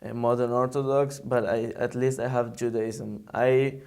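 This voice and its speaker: A young man with an Israeli accent, a camp counselor answering interview questions in careful, halting English as he searches for words. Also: medium pitch, level tone, vocal fry, a little mellow. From Argentina, raised in Israel.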